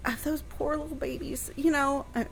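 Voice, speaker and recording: A woman's voice: sits high, fairly even intonation, creaky, poor audio. A woman co-hosting a casual radio talk show, reacting readily and sharing her own experiences. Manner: chatty and responsive, quick to react. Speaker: radio co-host.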